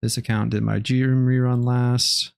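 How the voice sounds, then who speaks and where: A young man streaming a game live, chatting casually in a low-key, relaxed way with many ums and restarts. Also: unhurried, creaky, clean tone, no room sound, clean studio sound. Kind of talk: casual live-stream chat while gaming.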